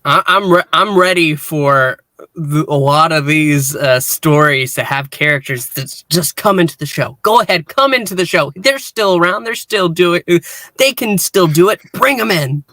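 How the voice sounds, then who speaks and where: Slightly high-voiced man chatting in a review. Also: lively intonation, moderate pace, pushed and bright, no room sound. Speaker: podcast host and reviewer. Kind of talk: casual review discussion.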